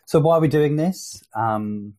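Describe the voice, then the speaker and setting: A man's voice: a little high, measured pace, mellow. A man hosting a business podcast, talking in a conversational way with frequent fillers and restarts. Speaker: podcast host.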